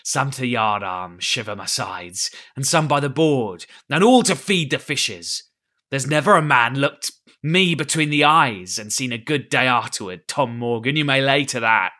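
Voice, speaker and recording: A man voicing a crafty, blustering old male pirate captain with gruff sea-dog talk and roaring threats. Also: medium pitch, a little fast, bright, forceful tone, close to an everyday voice. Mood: menacing. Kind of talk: audiobook character reading.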